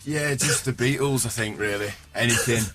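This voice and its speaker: A man's voice: mid-range voice, moderate pace. A man chatting casually and jokingly as a guest in a radio studio. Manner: informal, joking. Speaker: band member guest. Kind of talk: casual banter in a radio interview.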